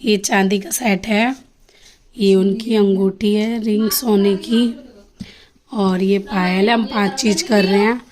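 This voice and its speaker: A woman's voice: mid-range voice, steady tone, slow, darkish tone. A young woman with a sore throat, chatting casually to her vlog viewers and showing things one by one to the camera. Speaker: vlogger. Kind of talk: casual family vlog chat.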